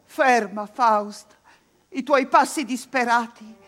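An actress playing a solemn spirit who watches over a sinner's soul in a verse radio drama, with measured declamation. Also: female voice, fairly high pitch. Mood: imploring.

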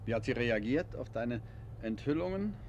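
An actor playing a calm, suave amateur detective husband in a 1950s German radio play, conversational and wry. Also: male voice, medium pitch, normal speed, lo-fi sound, lightly acted. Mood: relaxed, inquisitive. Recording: noisy 1950s radio drama recording.

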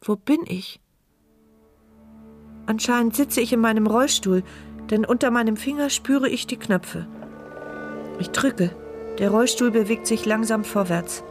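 A woman's voice: on the high side, a little slow, long pauses, close to an everyday voice. An actress playing a trapped wheelchair user, narrating her terrified inner thoughts in fragments in a radio thriller. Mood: dread, horror. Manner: tense, fragmented first-person present-tense narration. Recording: music bed behind voice.

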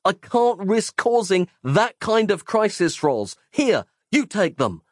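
A man's voice: high voice, sing-song, takes it slowly, dry recording, studio quality, voice pushed up, heavily acted. A man voicing a battered, earnest doctor who gasps out wordy, melodramatic lines in a horror audiobook. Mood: strained refusal. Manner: gasping, earnest.

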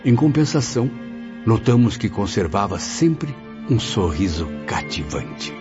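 Earnest spirit apprentice, low lively voice, formal literary phrasing, radio drama, music behind. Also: male voice, a little slow. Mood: admiring, reflective. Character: earnest, inquisitive male apprentice spirit recounting his memories. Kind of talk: radio drama acting, literary first-person narration. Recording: dull lo-fi audio. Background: clear background music.

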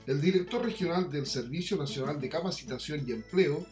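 A man's voice: on the high side, fairly quick. Echoey male news presenter. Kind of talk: scripted news reading. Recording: echoey voice over music bed.

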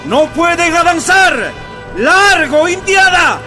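Menacing high-pitched army officer. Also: male voice, lively intonation, slow, full character voice. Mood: menacing, contemptuous. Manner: barking, menacing commands. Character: contemptuous army officer threatening marching miners. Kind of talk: radio drama acting. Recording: shouting over music and loud crowd.

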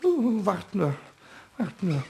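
A man voicing a small, cheeky, jealous kobold in a children's radio play, squawky and excitable, stammering out indignant repetitions. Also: high voice, drawn-out words, creaky, full character voice. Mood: defiant grumbling.

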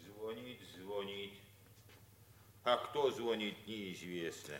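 Slow, grumbling folksy fellow. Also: male voice, on the low side, a bit bright. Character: grumbling, muttering folksy simple man. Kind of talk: character acting in a 1950s radio play.

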